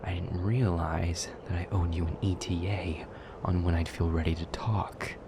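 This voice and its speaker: A man playing a brooding, wounded demon lover in an intimate audio roleplay, speaking in a hushed, breathy, measured way. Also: low voice, steady tone, background noise. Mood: bitter, sarcastic.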